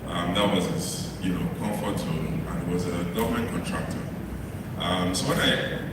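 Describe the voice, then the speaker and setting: A middle-aged Nigerian man with a Nigerian English accent giving a conference talk, speaking quickly with many ums and uhs. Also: low voice. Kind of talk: conference presentation. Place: large conference hall. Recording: far-off mic, echoey hall, noisy.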